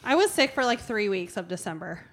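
A woman's voice: medium pitch, big pitch swings, a little fast, fairly bright tone. A woman in her thirties, a gym coach co-hosting a podcast, chatting casually in a teasing way and laughing easily. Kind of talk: casual chat.

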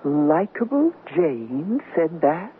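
A woman's voice: low voice, big pitch swings, slow, full character voice. An actress playing a breezy, affectionate, artistic newlywed woman in a 1970s radio drama. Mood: bright, assured. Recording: muffled, noisy old radio broadcast.

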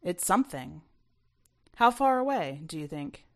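A woman's voice: fairly low pitch, very animated, measured pace. Woman reading as teasing, grumbling teenage desert boy. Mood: curious, teasing.

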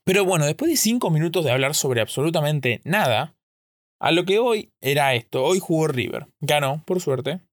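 A man's voice: fairly high pitch, animated, normal speed, dry recording, clean studio sound. A man from Argentina with an Argentine accent, recording a casual solo podcast, thinking aloud in a rambling way and often correcting himself mid-sentence. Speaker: podcast host.

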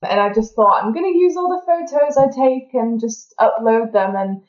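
A woman's voice: on the high side, normal speed, darkish tone, echoey room, muffled recording. A young woman from England with a fairly neutral English accent, chatting casually as an interview guest about her work and food blog, with lots of fillers and easy laughter. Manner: chatty, laughs often, many fillers like kind of. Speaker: dental therapist and food blogger, guest.

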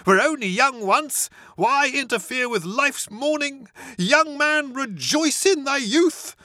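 A reader voicing a giddy young male aristocrat, breathless and exuberant, in a high, chirpy voice. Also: animated, slow, sharp tone, no echo, clean studio sound, full character voice.

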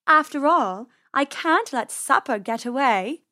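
A woman puts on a haughty lady cat from a children's storybook. The voice is high and smug, with purring, drawn-out words. She is a sly predator toying with her prey. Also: lively intonation, pushed and bright, exaggerated voice. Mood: smug, menacing.

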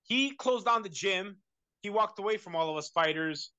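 A man's voice: high voice, expressive, normal speed, pushed and bright, clean tone, no room sound, muffled recording. Rambling man with a dry sound. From United States. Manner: rambling, many fillers and restarts. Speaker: interviewee. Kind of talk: casual conversation.

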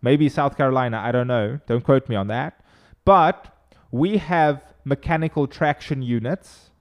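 A man's voice: medium pitch, measured pace. A man whose first language is Afrikaans, a chiropractor guest explaining his methods eagerly in a casual podcast interview.

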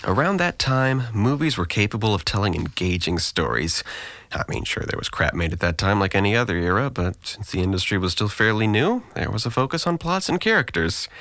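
A man's voice: lowish voice, big pitch swings, brisk, a bit bright, no room sound. An actor playing a sarcastic, guarded, depressed young man with dry wit and an articulate, deflecting manner in an audio drama. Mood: thoughtful.

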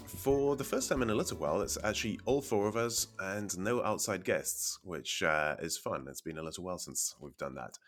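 Deep voiced podcast host guy, bit congested from a cold, wry and chatty. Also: lively intonation, moderate pace, few pauses, a bit bright, soft background music. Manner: wry, leads the discussion and hands off questions. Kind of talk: casual tech podcast chat.

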